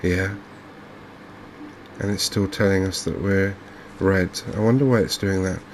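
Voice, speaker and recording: A man speaking softly and unhurriedly in an ASMR style, murmuring his way through video game commentary as a streamer. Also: low-pitched, fairly even intonation, a little hiss or hum. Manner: soft-spoken, unhurried, murmuring to himself.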